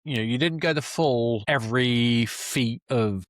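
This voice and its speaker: A man's voice: medium pitch, a little slow, dry recording, clean studio sound. A man hosting a podcast, talking casually and thinking aloud in rambling sentences. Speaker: podcast host. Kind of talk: casual interview chat.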